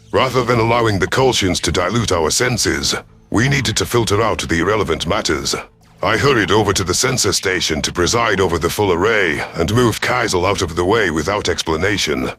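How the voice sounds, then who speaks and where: A man narrating a scripted first-person science fiction story in a formal, deliberate storytelling manner. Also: deep voice, fairly bright tone.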